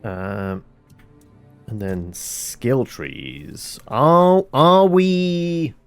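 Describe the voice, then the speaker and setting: A man's voice: medium pitch, extremely slow, clear, resonant tone, faint music behind. A man doing casual gameplay commentary as a streamer, musing aloud and answering his own questions. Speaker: video game streamer.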